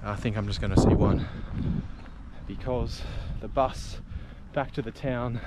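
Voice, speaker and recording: A man talks casually to his viewers while hiking along country roads, his voice sitting at an ordinary middle pitch. The outdoor camera audio carries clear wind and road noise behind him. He keeps an easygoing, chatty manner as he walks. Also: fairly even intonation, measured pace. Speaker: travel vlogger. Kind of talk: casual walking commentary. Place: outdoors on rural roads and trails.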